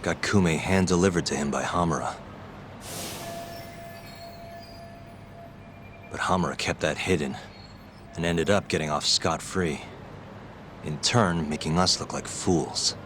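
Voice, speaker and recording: Determined private eye for a video game, male actor: low, composed, sure of himself. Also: moderate pace, background noise, lightly acted. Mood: grim, resolute. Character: cool, determined male lawyer turned private detective. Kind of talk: English video game voice acting.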